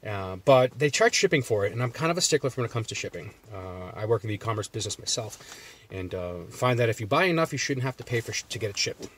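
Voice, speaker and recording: A man's voice: fairly low pitch, animated, quick pace, fairly bright tone. A man with a Boston accent, chatting loosely in a vlog from inside his parked car, rambling with lots of fillers and restarts. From Massachusetts. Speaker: jerky reviewer. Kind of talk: casual vlog chat.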